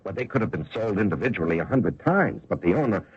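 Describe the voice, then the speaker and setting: A man's voice: on the low side, sing-song, fast talker, slightly rough, heavily acted. An actor in an old-time radio drama playing a timid, dutiful, hesitant and stammering male office clerk. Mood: flustered, wary. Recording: dull lo-fi radio recording.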